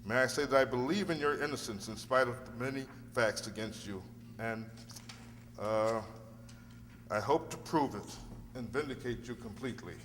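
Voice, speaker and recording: A man's voice: mid-range voice, moderate pace, fairly bright tone, natural voice. A man in a radio play acting an earnest, courteous defence lawyer who speaks formally and politely. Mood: reassuring, formal. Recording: echoey hall, faint noisy hum.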